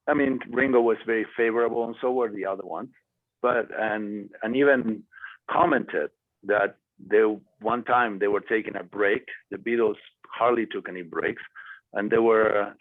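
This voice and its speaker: A man speaking as a guest to a class and answering student questions, with many pauses and fillers. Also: fairly low pitch, no room sound. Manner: hesitant, many fillers and restarts. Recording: dry tinny phone-like audio.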